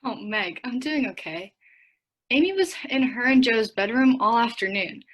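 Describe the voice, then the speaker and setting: A woman playing a gentle, worried teenage girl in a radio play, speaking earnestly. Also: on the high side, animated, moderate pace.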